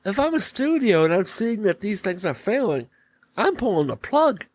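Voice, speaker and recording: A man hosting a solo podcast, giving casual opinion commentary in short, halting phrases that he often repeats. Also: high voice, sing-song, no room sound. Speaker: podcast host.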